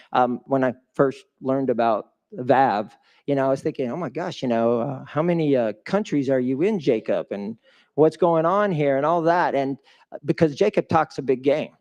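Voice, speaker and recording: A man speaks live to an audience as a guest speaker at a charity event. His delivery is emphatic and motivational, with questions put directly to the listeners. His voice sits at a medium pitch. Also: moderate pace, a little mellow, highs cut off. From a developing country.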